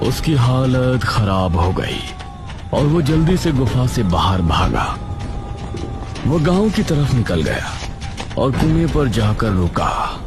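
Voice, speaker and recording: A man's voice: mid-range voice, sing-song, slow. A man narrating a scripted cartoon story in a dramatic storytelling manner. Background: music.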